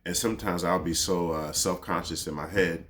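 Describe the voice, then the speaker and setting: A man in his thirties with a very low, deep voice speaks in a personal, reflective monologue. His delivery is laid-back and rambling, peppered with easy fillers as he thinks aloud. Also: fairly even intonation, moderate pace, a bit bright, slight background noise. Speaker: podcast host.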